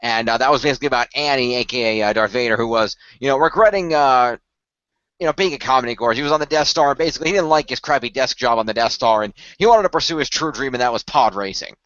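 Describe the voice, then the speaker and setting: Male podcast co-host, very quick talker, detail-heavy fan enthusiasm, casual, slightly lo-fi sound. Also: medium pitch, fairly bright tone, dry recording.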